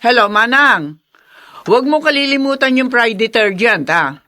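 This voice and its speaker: An actress playing a scheming, indignant middle-aged stepmother in a Filipino radio drama, theatrical and forceful. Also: on the high side, lively intonation, normal speed. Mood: controlled, bossy.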